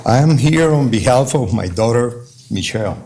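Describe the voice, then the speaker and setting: An older man with a Venezuelan Spanish accent, a native Spanish speaker, reading a halting statement to a court as a father. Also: medium pitch, expressive, dark tone, a little hiss or hum. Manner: slow, halting, careful phrasing with restarts. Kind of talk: reading a victim-impact-style court statement.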